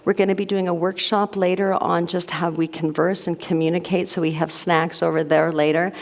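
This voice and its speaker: Low-voiced woman minister in a reverberant sanctuary. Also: little pitch movement, brisk. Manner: warm, gushing, rambling with repeated "so". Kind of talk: sermon welcome and announcements. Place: church sanctuary.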